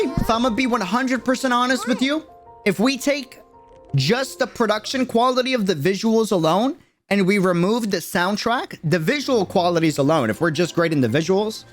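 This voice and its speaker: Higher-voiced man livestreaming, music behind. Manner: emphatic, repeats words for stress, slangy. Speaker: streamer reacting to a game video. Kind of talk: livestream commentary, casual chat with viewers.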